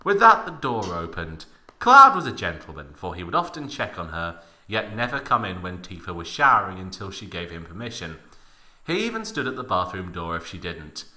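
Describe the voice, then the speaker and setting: A man's voice: low voice, normal speed. A man hosting a mock-dramatic story reading, narrating theatrically and breaking off for joking asides. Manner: theatrical, animated. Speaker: host, story reader. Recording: echoey room.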